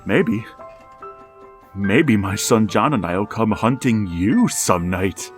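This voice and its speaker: A low, unhurried voice tells the tale as a haunted, resigned old father, played by a man. Each line comes out slow and halting, with clear music playing under him, as in a vintage-style radio horror play. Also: expressive. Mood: eerie glee. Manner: slow, halting, hushed storytelling. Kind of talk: radio drama narration.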